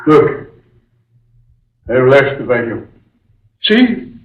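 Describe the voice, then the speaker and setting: A man's voice: medium pitch, big pitch swings, extremely slow. An actor playing an awed man witnessing the supernatural, in 1940s radio horror style. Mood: awed, alarmed. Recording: echoey, distant, dull 1940s radio recording.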